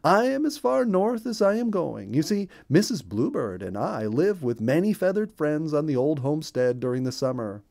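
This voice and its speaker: A man's voice: on the high side, very animated, moderate pace, vocal fry, hardly any pauses, darkish tone, pitched above the performer's own voice. A man voicing a friendly, cheerful male bluebird in a children's storybook, chatty and singsong, repeating words for emphasis.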